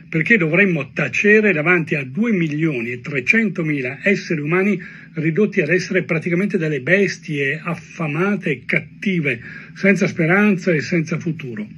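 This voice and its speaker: A muffled video playback with faint noise carries a man's voice, pitched a little high. He is a journalist delivering a scripted monologue, deliberate and emphatic, leaning on repetition. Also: a bit bright.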